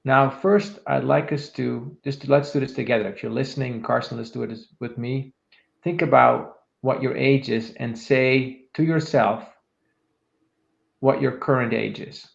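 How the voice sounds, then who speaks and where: A man in his fifties co-hosting a podcast, calmly talking listeners through a reflective exercise. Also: mid-range voice, measured pace, gravelly. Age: 50s. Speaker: podcast co-host, leadership coach. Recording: echoey room, dull lo-fi sound.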